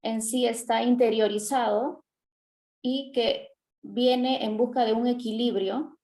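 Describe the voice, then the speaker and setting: Woman on a panel, bit high voice, calm and thoughtful. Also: slow pace. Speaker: panel guest. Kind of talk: casual panel discussion.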